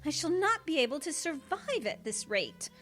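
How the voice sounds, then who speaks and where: A woman's voice: high voice, very animated, moderate pace, fairly bright tone, faint music behind. A woman playing a fussy, melodramatic wife and island queen in an audio drama. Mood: theatrical complaint.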